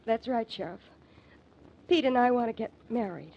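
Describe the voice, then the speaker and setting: An actress playing a distraught, determined young American woman in an old-time radio drama, earnest and halting. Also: a little high, lively intonation, warm tone. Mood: earnest, confessing. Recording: muffled old radio recording.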